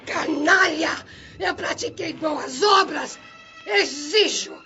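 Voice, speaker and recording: Raging old female vampire spirit, Brazilian radio drama. Very high, drawn-out shrieks, cursing and demanding, Brazilian accent. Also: bright, forceful tone, highs cut off, soft background music, full character voice. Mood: furious, demanding.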